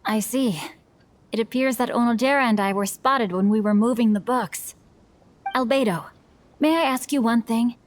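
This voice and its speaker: A woman's voice: fairly high pitch, normal speed, natural voice. A woman playing an earnest, humble young novelist in a video game, speaking formally and politely.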